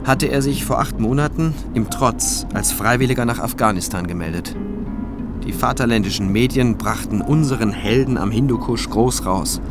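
A man's voice: medium pitch, close to an everyday voice. Male actor as reflective prosecutor-narrator, forties, dry irony, radio thriller, prominent music bed. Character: forty-year-old cautious male prosecutor telling his own story. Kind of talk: scripted first-person radio drama narration. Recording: music behind the voice.

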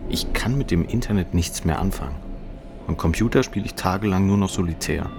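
An actor playing a despairing, sardonic narrator living through depression, speaking an introspective literary monologue in clipped fragments for a radio play. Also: male voice, low voice, brisk, background noise. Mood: weary, bitter. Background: music.

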